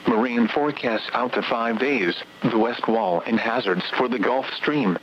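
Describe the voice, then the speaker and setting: Synthetic male announcer for automated weather radio: measured scripted read, mid pitch, dull broadcast sound with faint noise.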